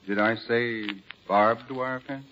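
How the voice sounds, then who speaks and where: A man with an American accent acting a confident, keen district attorney in a 1940s radio drama, brisk and self-assured. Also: mid-range voice, fairly even intonation, close to an everyday voice. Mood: teasing. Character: confident, keen male district attorney, teasing his club friends. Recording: dull, noisy old radio broadcast recording.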